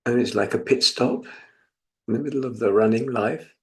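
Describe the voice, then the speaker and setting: A British man in his seventies from England leading a guided meditation as a teacher, speaking in a reflective, gently instructive manner with pauses. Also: medium pitch, rough recording. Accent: British English. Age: 70s.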